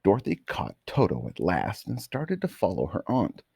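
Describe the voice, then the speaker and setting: Super low raspy guy reading a kids bedtime story, really animated, dry close sound. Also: normal speed, no room sound. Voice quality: gravelly, husky. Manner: expressive, dramatic storytelling. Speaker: storyteller reading a children's book aloud.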